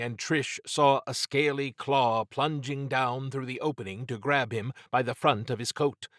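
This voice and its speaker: A man narrating horror fiction as an audiobook, with measured, dramatic storytelling. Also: mid-range voice, fairly bright tone, dry recording, clean studio sound.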